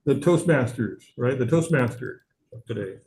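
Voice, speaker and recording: A man speaking as grammarian at an online club meeting, halting and full of fillers, over a video call. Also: mid-range voice, animated, a little mellow. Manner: halting, many fillers and restarts. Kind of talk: hesitant club meeting remarks.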